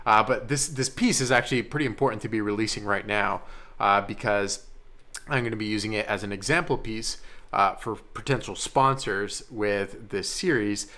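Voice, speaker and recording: A man's voice: fairly low pitch, moderate pace, sharp tone. A man talking casually and earnestly as a vlogger, feeling his way through his phrasing. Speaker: vlogger and filmmaker. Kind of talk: casual vlog chat.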